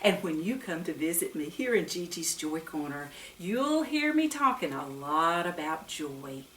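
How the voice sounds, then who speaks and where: A woman's voice: sits low, big pitch swings, unhurried, a bit bright, a little hiss or hum. A woman talking and reading to young children as a storyteller and Bible teacher, in a sing-song way with questions put to the listeners. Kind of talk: read-aloud storytime talk to young children. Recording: roomy echo, distant microphone.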